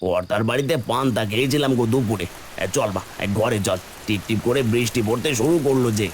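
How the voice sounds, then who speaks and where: A man playing a gentle, weary poor village father, plain-spoken and affectionate, in a Bengali cartoon fairy tale. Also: mid-range voice, quick pace, lightly acted. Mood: tender, tired. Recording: faint rain noise behind.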